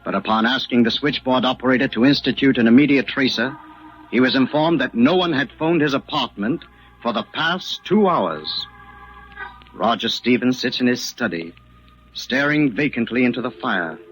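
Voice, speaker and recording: A man's voice: mid-range voice, highs cut off, faint music behind. A man narrating a 1940s radio thriller in a grave, dramatic, suspenseful scripted style. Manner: grave, measured suspense delivery.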